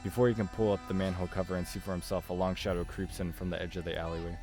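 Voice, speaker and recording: A man's voice: low-pitched, little pitch movement, very fast. A man reading a visual novel aloud as narration, as a game streamer does. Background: background music.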